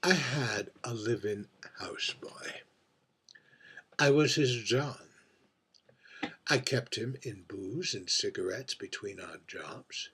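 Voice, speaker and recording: A man playing a husky, world-weary drag performer. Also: lowish voice, full character voice. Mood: rueful, wry. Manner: confiding, deliberate, swinging from hushed breathy murmurs to emphatic bursts. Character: world-weary, wry drag performer named Trick, confiding over drinks. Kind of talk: theatrical dramatic monologue read by its author.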